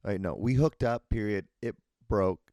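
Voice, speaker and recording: Crude rambling man with a dark, dry voice. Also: lowish voice, moderate pace, no echo. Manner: rambling, amused, crude, trips over words. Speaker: podcast host. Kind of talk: casual solo rambling, reading posts aloud.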